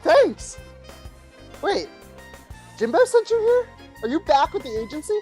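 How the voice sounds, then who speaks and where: A woman's voice: very high voice, sing-song, unhurried, warm tone, exaggerated voice. A woman playing an eager, nervous young male psychic in an audio drama, earnest and overeager. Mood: flustered. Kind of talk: scripted audio drama acting. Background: music.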